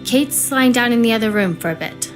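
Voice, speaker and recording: Woman playing gentle hopeful survivor in a game, highish voice, mild and chatty, soft music behind. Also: lively intonation, a little fast, close to an everyday voice. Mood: concerned. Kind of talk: video game voice acting.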